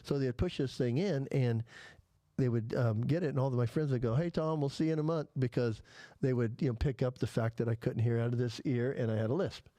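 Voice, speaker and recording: Male podcast host, lisp, mellow dark tone, dry close sound, loose rambling delivery. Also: mid-range voice, fairly quick, no room sound. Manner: rambling, with many restarts and fillers. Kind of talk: casual chat.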